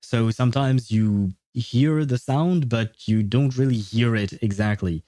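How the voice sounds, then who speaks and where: Mellow-voiced man chatting on a livestream. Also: medium pitch, measured pace, no room sound. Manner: chatty, chuckles often, hesitant rambling. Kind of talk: casual livestream chat, replying to viewers.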